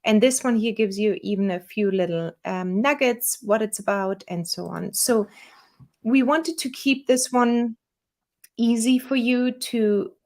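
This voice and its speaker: A woman with a German accent, the owner of a small software company, walking viewers through a software tutorial in a relaxed, chatty way with fillers. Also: fairly high pitch, a little slow. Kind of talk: screen-share software tutorial.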